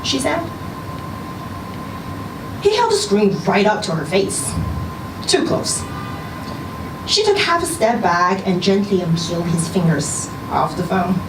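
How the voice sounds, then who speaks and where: A woman giving an expressive narration of a scripted short story at a live reading. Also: medium pitch, unhurried, pauses often. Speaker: story reader at a live literary event. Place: indoor social venue, live reading event. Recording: roomy live venue, distant mic, noisy background.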